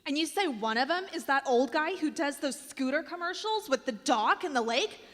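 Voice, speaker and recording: A woman's voice: high-pitched, animated, moderate pace, fairly bright tone. A woman playing an easily impressed, slightly dim young hipster with slangy speech in a comic radio drama. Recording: live stage show, reverberant hall.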